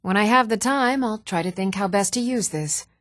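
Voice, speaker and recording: A composed townswoman of a fantasy realm, acted by a woman, speaks in a measured, even tone at a middling pitch. The lines are scripted video game dialogue, recorded very dry with no room around the voice. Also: no echo, natural voice. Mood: friendly, matter-of-fact.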